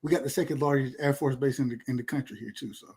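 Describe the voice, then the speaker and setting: A man's voice: mid-range voice, fairly even intonation, fast, darkish tone, slightly rough. A man in his thirties from rural Georgia with a Southern accent, chatting casually and emphatically in slangy, profane, repetitive phrases. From rural Georgia, USA. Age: 30s. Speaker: podcast speaker. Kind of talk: casual chat.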